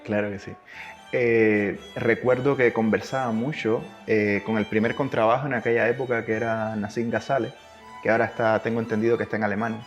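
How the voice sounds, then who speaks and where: A man from Cuba with a Cuban accent tinged with Chilean, telling stories in a relaxed interview and acting out quoted dialogue. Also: lowish voice, brisk, highs cut off, soft background music. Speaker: guest, double bass player.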